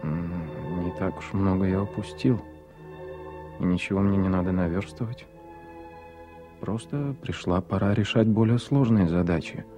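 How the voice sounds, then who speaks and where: Guy playing earnest doctor in soviet radio drama, lowish voice, music behind him. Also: moderate pace, dark tone, natural voice. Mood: dreamy, reflective. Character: Bulatov, brisk, conscientious male doctor, musing and insistent. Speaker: actor in a radio play. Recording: 1980s radio play with music. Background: music under the voice.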